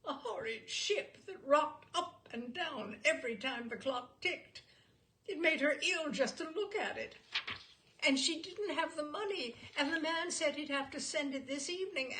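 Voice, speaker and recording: A woman's voice: high-pitched, a bit bright. A woman reading a literary short story aloud expressively, with theatrical emphasis on key words.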